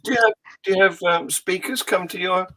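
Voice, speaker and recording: A man hosting a live-streamed talk show, brisk, plugging links and adding quick remarks. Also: high-pitched, sharp tone, rough recording. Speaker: show host.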